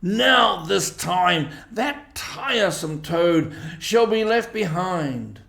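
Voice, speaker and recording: In a roomy, echoing sound, a man plays a stern storybook badger in command of his band. The words come slowly and firmly, with deliberate authority. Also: high voice, lively intonation, gravelly, bright, forceful tone, voice pushed up, full character voice. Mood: angry. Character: stern male badger, gruff commanding leader of animals.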